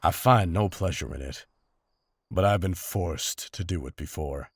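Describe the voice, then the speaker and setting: A man's voice: low voice, slightly rough, no echo, clean studio sound, full character voice. A man playing a seasoned, honorable monster hunter in a fantasy drama, with formal, restrained, serious speech. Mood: grave. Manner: formal, measured, serious wording. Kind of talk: fantasy audio drama acting.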